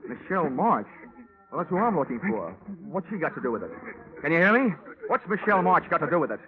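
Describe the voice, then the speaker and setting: Wry hard-boiled radio detective, tinny. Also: male voice, on the high side, big pitch swings, quick pace, a crowd in the background. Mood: urgent. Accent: American. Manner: clipped, wry, dry delivery. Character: hard-boiled, wisecracking male freelance insurance investigator. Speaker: lead actor, narrator. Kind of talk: 1940s radio detective acting. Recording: tinny vintage radio recording, music behind.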